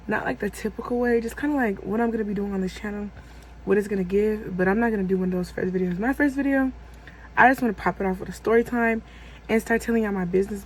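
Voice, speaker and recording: A woman's voice: mid-range voice, darkish tone, slight background noise, rough recording. A woman in her twenties telling a personal story on her vlog in a rambling, casual way, full of fillers and self-corrections. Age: 20s. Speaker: vlogger.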